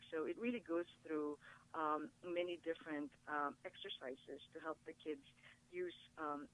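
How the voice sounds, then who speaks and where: A woman's voice: low voice, a bit bright, poor audio. A woman from the Philippines whose first language is Tagalog, talking eagerly as a guest author in a TV studio interview. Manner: upbeat, eager explaining. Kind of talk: casual interview talk.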